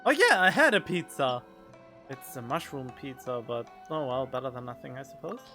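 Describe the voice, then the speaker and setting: A male gaming streamer comments casually and wryly over a game. His mid-pitched voice moves around a lot, playful throughout, with faint music behind. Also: animated, normal speed, bright, forceful tone. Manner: playful, wry.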